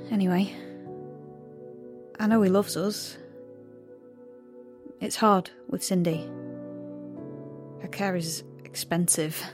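A rebellious young woman in an audio drama. She is sarcastic and emotional, with a middling pitch. Faint music sits behind her. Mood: tentative, wry.

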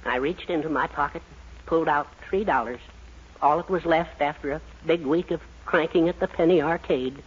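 Henpecked American dad, middle-aged, husky, fairly high voice, woeful melodrama, 1940s radio sitcom. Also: male voice, normal speed. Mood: rueful storytelling. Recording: dull 1940s radio recording.

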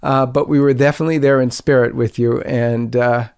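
A man hosting an interview show, speaking in a halting, deliberate and sympathetic way. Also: mid-range voice, level tone, vocal fry, a little mellow, clear, resonant tone. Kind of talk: conversational interview.

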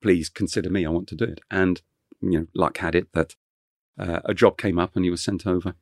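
Deep-voiced man telling childhood stories. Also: steady tone, a little fast, no echo, studio quality. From England (Kent). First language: French. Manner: rambling, many fillers and restarts. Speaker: chef guest. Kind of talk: relaxed interview storytelling.

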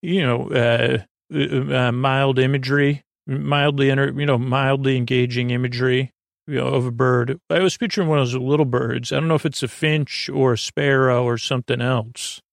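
A man speaks in a very creaky, gravelly voice at a medium pitch, recorded very dry. He hosts a bedtime podcast, wandering softly from tangent to tangent. His delivery is halting, soothing and gently whimsical, full of fillers and pondering pauses. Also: steady tone, dry recording. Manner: meandering, hesitant, halting, with frequent tangents and pauses. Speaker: podcast host. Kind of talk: rambling bedtime monologue.

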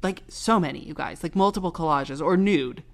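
A young woman co-hosting a podcast in casual chat, talking fast and loose with lots of filler words. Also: sits low. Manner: chatty, lots of "like" and "um", recounting gossip. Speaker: podcast co-host.

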